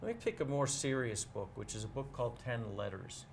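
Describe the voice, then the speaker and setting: A man in a casual interview, talking as a bookseller guest in a measured, explanatory way and pausing to choose his words. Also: mid-range voice, very animated, creaky, slight background noise.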